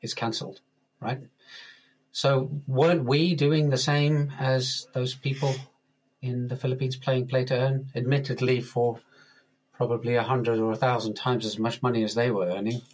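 A man being interviewed as a guest author, talking in a relaxed, easygoing way and chuckling now and then. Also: medium pitch, moderate pace, poor audio. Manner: relaxed, chuckles often, uses analogies. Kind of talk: casual interview talk.

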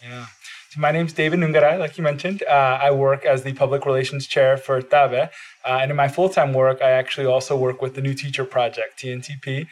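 A man's voice: medium pitch, fairly even intonation, fairly quick. A man whose first language is Spanish, talking earnestly as a podcast guest in a studio, with frequent "uh" fillers. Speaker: podcast guest, bilingual education advocate. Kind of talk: casual interview talk.

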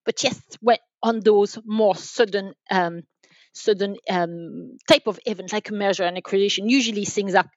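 A woman with a mid-pitched voice answers as a podcast guest, explaining things step by step. She restarts and repeats words as she works through her answers. The sound is dry and somewhat dull. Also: moderate pace, no echo. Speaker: podcast guest, enterprise sales manager. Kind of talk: interview answers, explanatory talk.

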